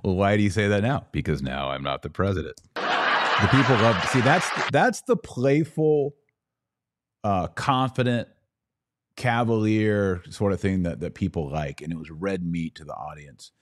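A man with a low voice hosts an informal commentary podcast. He explains his points emphatically, as if walking a listener through each one. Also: expressive, measured pace, a bit bright. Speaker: podcast host. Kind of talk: informal political commentary reacting to clips.

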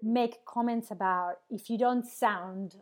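A woman's voice: fairly high pitch, takes it slowly. A woman hosting a podcast who speaks English as a second language with a non-native accent, talking earnestly and with emphasis. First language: not English. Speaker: podcast host. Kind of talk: casual conversational interview.

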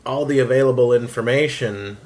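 A man's voice: medium pitch, a little fast, darkish tone. A man giving his opinions in a casual, analytical group conversation. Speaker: voice actor on a round-table.

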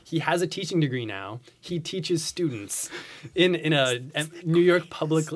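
A man's voice: fairly high pitch, animated, moderate pace. A man talking casually as a guest in a podcast conversation. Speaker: podcast guest, comics blogger.

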